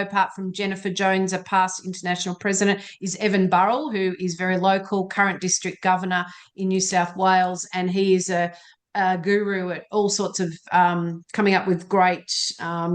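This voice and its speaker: Woman, meeting moderator; relaxed, reassuring, filler-heavy delivery. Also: medium pitch. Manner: chatty, frequent ums, reassuring. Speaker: session moderator. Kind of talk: online meeting Q&A.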